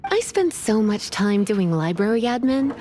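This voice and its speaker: An actress playing a composed, gently bossy young female librarian in a video game. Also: medium pitch, very animated, a little slow, slight background noise. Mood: poised, reassuring.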